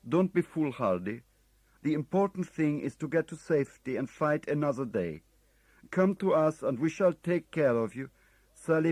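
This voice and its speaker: A man voicing a foreign male agent who stays formally polite and calm under pressure, speaking slowly and insistently. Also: fairly high pitch, no echo, pitched above the performer's own voice.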